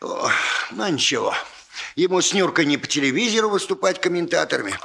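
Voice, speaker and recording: Folksy old village father, high bright voice, very animated, chatty, radio play. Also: a little fast, gravelly, heavily acted. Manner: folksy, chatty, hesitant with repeated filler words. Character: bustling, folksy old father preparing his daughter's wedding. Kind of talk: naturalistic 1960s Soviet radio play acting.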